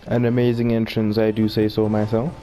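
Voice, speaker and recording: A man's voice: on the low side, monotone, brisk, dark tone, faint music behind. A man hosting a gaming video with casual, joking commentary, playful and theatrical.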